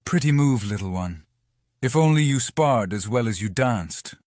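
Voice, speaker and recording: A man's voice: medium pitch, animated, moderate pace, darkish tone, no room sound, clean studio sound, natural voice. Man acting a young commander big brother. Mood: teasing. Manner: teasing, smirking. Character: young male commander, playful teasing protective older brother. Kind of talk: audiobook character acting.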